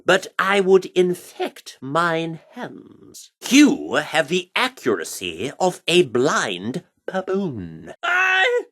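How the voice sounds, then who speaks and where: A man's voice: sits high, pushed and bright, heavily acted. An actor voicing a pompous theatrical man in a video game, declaiming in archaic, mock-Shakespearean bombast. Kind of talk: video game character voice lines.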